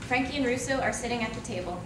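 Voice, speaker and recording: A distant, echoey recording with clear noise carries a woman narrator. She reads out stage directions in scripted style for a staged drama. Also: fairly high pitch, fast talker. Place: live staged reading in a roomy indoor space. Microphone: distant video camera. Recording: echoey, noisy room, distant camera microphone.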